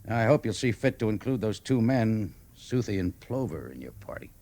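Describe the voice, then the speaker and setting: An actor playing a wry, authoritative cavalry major in a classic radio drama, speaking in a measured way. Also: male voice, on the low side, big pitch swings, creaky, mellow. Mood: commanding. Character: wry, weary male cavalry major, commanding officer. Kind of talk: classic old-time radio drama acting.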